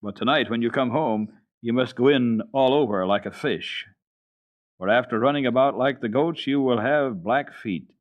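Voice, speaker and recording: A man voicing an old mountain grandfather, gruff but kindly, in a children's storybook reading. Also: mid-range voice, moderate pace, lo-fi sound, voice pushed up, natural voice. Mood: amused, kindly.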